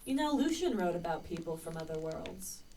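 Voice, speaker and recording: Woman playing a low-voiced, dreamy poet-spy, slightly distant. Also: very animated, mellow, a little hiss or hum. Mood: excited musing. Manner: musing, lyrical, dramatic pauses. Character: Christopher Marlowe, dreamy, searching young male poet and spy. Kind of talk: radio play acting.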